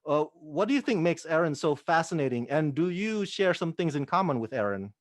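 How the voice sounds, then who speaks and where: A man interviewing an actress, asking relaxed, friendly questions. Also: fairly high pitch, a little fast, dry recording, studio quality.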